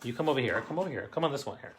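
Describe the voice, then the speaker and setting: A middle-aged man with a Canadian accent, telling personal stories casually to camera in a rambling, halting way. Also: mid-range voice, expressive, background noise. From Canadian Maritimes. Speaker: host of a family stories show.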